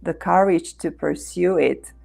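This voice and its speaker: A woman from Romania with a Romanian accent, speaking earnestly and warmly as an author guest in an interview. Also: fairly low pitch, unhurried, vocal fry. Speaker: author and life coach guest. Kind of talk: interview conversation.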